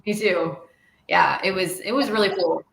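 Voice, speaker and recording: A woman's voice: lowish voice, moderate pace, creaky, slight background noise. A woman guest in a casual panel chat, bubbly and laughing between phrases. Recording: echoey room with faint ambience.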